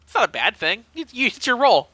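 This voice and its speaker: Animated man with a high, bright voice. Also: fast talker, dry recording. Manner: animated, chuckling through stories. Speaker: podcast co-host. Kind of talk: casual comedic storytelling chat.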